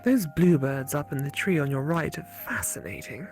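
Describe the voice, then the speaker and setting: A woman's voice: low voice, expressive, normal speed, darkish tone, faint music behind. A woman voicing an earnest, curious female AI companion in a visual novel, with a quizzical, wondering manner.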